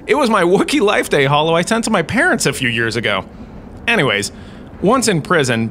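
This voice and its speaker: A man's voice: mid-range voice, a bit bright, slight background noise. A man playing a glib, theatrical university lecturer who gives a scripted comic talk with wry, chatty asides. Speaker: host.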